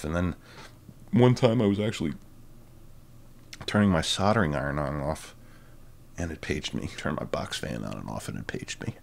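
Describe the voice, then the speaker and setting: Very deep-voiced, gravelly man. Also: a little hiss or hum. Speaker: presenter of a hobby electronics project. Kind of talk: casual tech demo narration.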